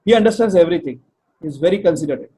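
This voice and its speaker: Indian male guest, high voice, rattles along very fast, stumbles and mixes Hindi. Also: animated, mellow, poor audio. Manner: rapid, stammering, Hindi mixed into English. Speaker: guest, brand consultant. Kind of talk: casual video interview.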